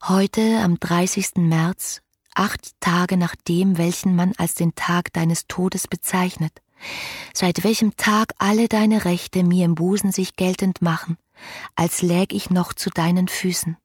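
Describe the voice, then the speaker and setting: An actress playing an ardent, yearning romantic woman in a literary radio drama, with fervent, lyrical delivery that can turn hushed. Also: lowish voice, steady tone, measured pace, breathy edge, dry recording, clean studio sound. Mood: pleading.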